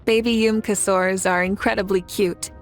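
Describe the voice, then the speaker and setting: Measured, poised heroine, acted by a woman, over music. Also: medium pitch, fairly even intonation, lightly acted. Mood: fond. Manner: measured, earnest, encouraging. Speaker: game character voice. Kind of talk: scripted video game character monologue. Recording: background music.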